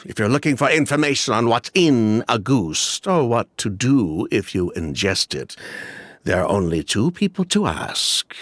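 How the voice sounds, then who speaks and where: A slick middle-aged ex-con, who talks with a hugely rising and falling, sing-song lilt and brushes things off. Evasive and chatty, in a dry studio game recording. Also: male voice, mid-range voice, big pitch swings, unhurried, fairly bright tone, no echo. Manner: evasive, chatty, with dismissive laughs. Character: smooth middle-aged male ex-perfume swindler. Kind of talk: video game voice acting.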